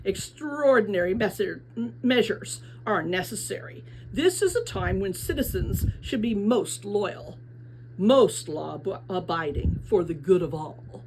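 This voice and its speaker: A woman's voice: mid-range voice, lively intonation, a little slow, a little mellow, a little hiss or hum, poor audio. A woman voicing an immense, pompous and menacing male mayor in a children's storybook, scolding in a ponderous manner. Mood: pompous, lecturing. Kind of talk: children's novel read aloud.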